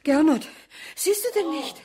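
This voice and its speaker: A woman's voice: high voice, lively intonation, unhurried, darkish tone. An actress playing a distraught, pleading mother in a radio drama, trying to protect her child. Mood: alarmed, pleading. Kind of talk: German radio drama acting.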